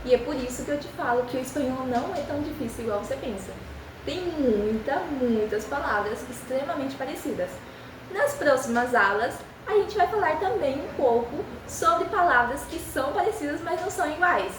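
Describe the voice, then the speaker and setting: A young woman who is a native Spanish speaker, speaking Portuguese with a Spanish accent and teaching beginners with an encouraging manner, repeating phrases slowly for practice. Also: high-pitched, expressive, reverberant, far from the mic, background noise. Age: young adult. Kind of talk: beginner language lesson.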